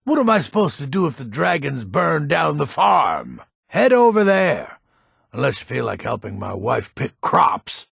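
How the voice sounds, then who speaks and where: An actor playing a grumpy, proud old Nordic townsman in a fantasy video game, gruff and blunt, with a gravelly, husky voice. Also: fairly high pitch, big pitch swings, a little slow, fairly bright tone, no room sound, studio quality, heavily acted. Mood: bitter, grumbling. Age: older.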